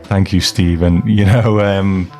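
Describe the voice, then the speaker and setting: A man's voice: sits low, fairly even intonation, takes it slowly, darkish tone, faint music behind. A man hosting a podcast, talking casually and reflectively in a rambling, self-deprecating way. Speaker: podcast host. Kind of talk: casual reflective podcast talk, reading listener mail.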